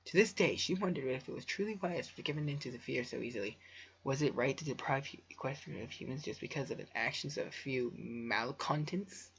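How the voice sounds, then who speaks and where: A man's voice: medium pitch, fairly quick, gravelly, a bit bright. A man reading a story aloud casually for a video, stumbling over words and breaking off into offhand asides. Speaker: amateur fan fiction reader and video creator. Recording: dull lo-fi home recording.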